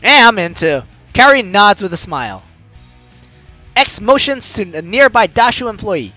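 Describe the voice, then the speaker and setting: A man playing a visual novel, reading the text aloud with excitable, joking reactions and the odd stumble, over music. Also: high voice, moderate pace, no echo. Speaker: let's player. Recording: music behind the voice.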